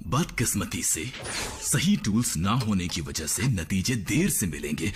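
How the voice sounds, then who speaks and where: An actor voicing a gruff, impatient male robot medic in a cartoon dub. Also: deep voice, very animated, unhurried, a little hiss or hum. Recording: dubbed with music underneath.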